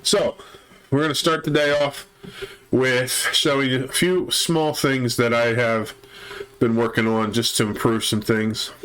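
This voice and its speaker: A man's voice: medium pitch, flat delivery, unhurried, fairly bright tone, rough recording. Man with a chest cold, narrating gameplay. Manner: methodical, explains step by step with fillers. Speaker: gaming channel host. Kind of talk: let's play commentary.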